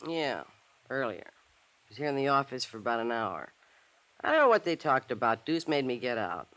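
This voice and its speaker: A woman's voice: a bass voice, very animated, normal speed, a bit bright, exaggerated voice. An actress in a 1950s radio drama playing a bitter, jealous, tipsy gangster's girlfriend with a brassy, combative manner. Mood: bitter, resigned.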